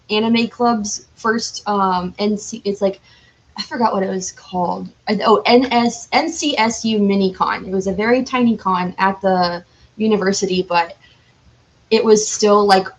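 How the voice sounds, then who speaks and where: A woman with a medium-pitched voice talks as a guest in a casual interview. She is enthusiastic and informal, with a giggly streak. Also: rough recording. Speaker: podcast guest, cosplayer.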